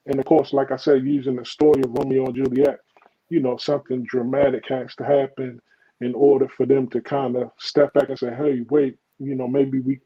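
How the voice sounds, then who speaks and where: A man's voice: mid-range voice, highs cut off. A man on a video call explaining his play, earnest and reflective, with many "you know" fillers. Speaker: playwright guest. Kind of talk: interview answer.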